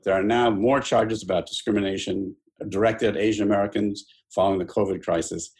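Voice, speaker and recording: Guy interviewing, talks fast, lowish voice, calm prompting questions.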